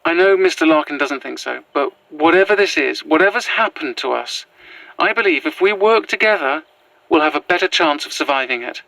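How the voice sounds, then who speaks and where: Bright, hesitant, earnest woman. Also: low-pitched, expressive, vocal fry, no echo, heavily acted, low-quality recording. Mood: earnest, tender. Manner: hesitant, sincere, halting. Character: earnest, gentle transgender woman in horror peril. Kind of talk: found-footage horror audio drama acting.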